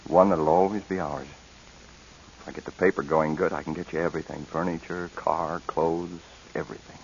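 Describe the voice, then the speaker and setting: An actor playing a devoted, earnest young husband in 1940s radio drama, speaking tenderly and haltingly. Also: male voice, extremely low pitch, flat delivery. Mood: eager, hopeful. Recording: hissy, dull old radio recording.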